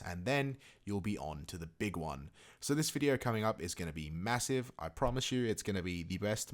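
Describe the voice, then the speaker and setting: A man with a fairly low voice presents a gaming guide. He talks casually and informally, stressing key points and dropping in chatty asides as he explains. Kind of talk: casual video game tutorial narration.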